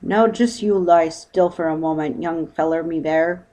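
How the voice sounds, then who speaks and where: A woman's voice: deep voice, unhurried, warm tone, low-quality recording. An unflappable working-class boatman , acted by a woman reader. He talks in a dry, colloquial, matter-of-fact way, as a storybook character. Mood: dry, unimpressed.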